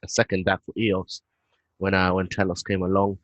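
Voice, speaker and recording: A British man on a video call, explaining his work in a relaxed way with frequent fillers. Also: low-pitched, moderate pace, no room sound, highs cut off. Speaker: guest, head of operations.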